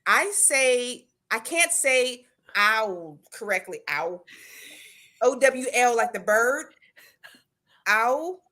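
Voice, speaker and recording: A woman with a Southern American accent chatting casually on a live show, animated and quick to laugh. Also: fairly high pitch.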